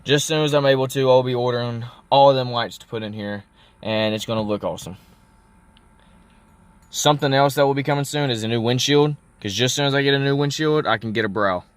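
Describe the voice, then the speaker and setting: A man's voice: mid-range voice, fast talker, smooth, no echo. A young adult man talking casually in an unscripted car vlog, explaining things in a folksy, rambling way. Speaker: car vlogger. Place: inside a parked car.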